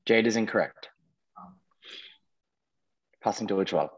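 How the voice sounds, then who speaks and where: A man's voice: lowish voice, unhurried, husky. A man hosting a quiz, reading questions out to contestants and responding to their answers in an encouraging way. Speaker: quiz host. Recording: dull lo-fi online call audio.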